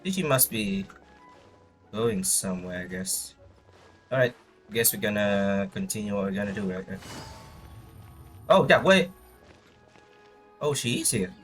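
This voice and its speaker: A man's voice: on the low side, lively intonation, measured pace, pauses often, a bit bright, faint music behind. A man live streaming a game with casual commentary, muttering reactions to himself as he plays.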